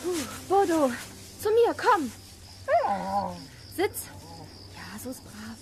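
A woman's voice: extremely high pitch, very animated, takes it slowly, a little hiss or hum, heavily acted. An actress playing a brave, anxious girl adventurer in a children's radio play. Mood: alert.

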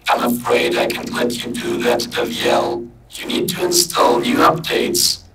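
A man voicing a cold, menacing robot computer through a buzzy metallic vocoder, in deliberate announcements. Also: fairly bright tone, roomy sound, recorded from a distance. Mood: cold, mechanical. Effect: vocoder robot filter.

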